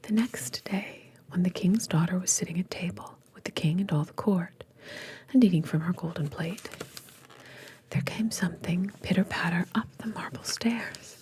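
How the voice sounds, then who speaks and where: A woman's voice: fairly low pitch, normal speed, a little mellow. Woman whispering a bedtime fairy tale. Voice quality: breathy, unvoiced whisper. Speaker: storyteller reading fairy tales aloud. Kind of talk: whispered ASMR storytelling with asides.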